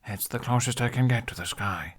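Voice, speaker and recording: Guy reading as a wry soft-spoken young fella in an audiobook story, earnest. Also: medium pitch, a little fast, pitched above the performer's own voice, close to an everyday voice. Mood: wistful.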